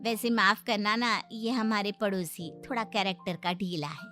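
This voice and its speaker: A woman's voice: mid-range voice, steady tone, moderate pace, sharp tone, faint music behind, natural voice. A woman playing a chatty middle-aged mother in a cartoon story, scolding and confiding by turns. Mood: gossipy. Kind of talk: Hindi children's cartoon storytelling.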